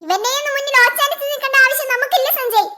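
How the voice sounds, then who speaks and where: Woman acting a piercingly high, distressed captive wife. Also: very fast, sharp tone. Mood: defiant, urgent. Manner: urgent, imploring. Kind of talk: amateur cartoon melodrama.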